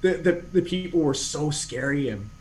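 A man's voice: fairly high pitch, animated, moderate pace, a little mellow, a little hiss or hum, rough recording. Rambling self-deprecating man. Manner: rambling, self-deprecating, many restarts and fillers. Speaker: podcast guest. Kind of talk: casual conversational storytelling.

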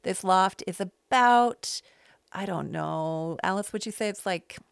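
A woman's voice: mid-range voice, a little slow, vocal fry, clean tone, no echo, clean studio sound. A middle-aged American woman hosting a casual podcast, telling stories in a rambling, self-deprecating way. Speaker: podcast host. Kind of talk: casual conversational podcast chat. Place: small loft space at home.

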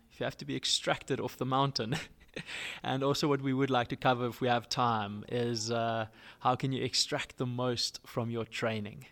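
A man opens a podcast from a campsite, talking loosely with lots of fillers and quick laughs. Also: mid-range voice, fairly bright tone. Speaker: podcast host. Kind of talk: casual podcast intro.

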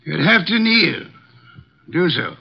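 A man's voice: a little high, big pitch swings, takes it slowly, vocal fry, husky, full character voice. An actor playing a pompous, commanding male detective in a 1950s radio drama, speaking in formal, pedantic sentences, on a muffled old broadcast recording. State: short of breath.

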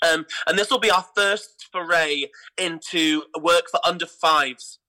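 A man's voice: on the high side, measured pace, bright, forceful tone, poor audio. A man chatting casually as an interview guest, talking in an enthusiastic, effusive way about his work as a theatre director.

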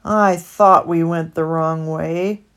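As a woman reads aloud, a jittery young rat stammers in a raised, husky voice, tight with emotion. He sounds anxious and eager to please. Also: high-pitched, expressive, normal speed, warm tone, voice pushed up. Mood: worried.

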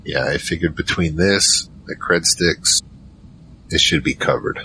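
Man as very deep, wry drama narrator. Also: a little slow, bright, forceful tone, slight background noise, full character voice, rough recording. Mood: reflective. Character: Michael, weary wry male narrator searching for his family. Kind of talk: first-person audio drama narration and dialogue.

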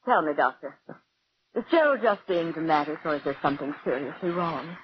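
A woman's voice: deep voice, lively intonation, brisk. A woman playing an anxious, secretive wife with a plaintive, dramatic delivery in 1940s radio melodrama. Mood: worried. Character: anxious, secretive beautiful wife of an ailing man. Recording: muffled, noisy vintage 1940s radio recording.

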